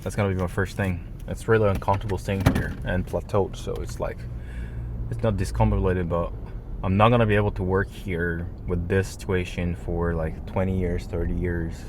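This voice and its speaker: A man's voice: low-pitched, flat delivery, moderate pace, background noise. A man whose first language is Japanese rambles casually to his vlog audience in slangy, non-native English. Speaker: vlogger.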